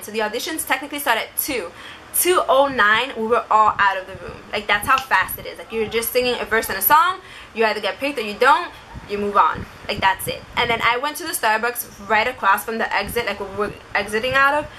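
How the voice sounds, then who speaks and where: A woman's voice: a little high, sharp tone, slight background noise, low-quality recording. A woman vlogger chatting casually and informally, rambling along as she shares her experience and advice, often saying "like". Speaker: vlogger sharing audition experience. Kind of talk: casual chat to camera.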